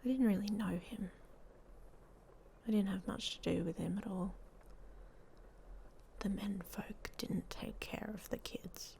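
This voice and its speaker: A woman playing a guarded, hot-tempered young werewolf in an ASMR roleplay, speaking in breathy half-whispers with a hesitant, dryly blunt manner. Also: medium pitch, unhurried, long pauses, warm tone. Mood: subdued, reflective. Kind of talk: ASMR roleplay audio story, intimate monologue. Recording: faint background noise.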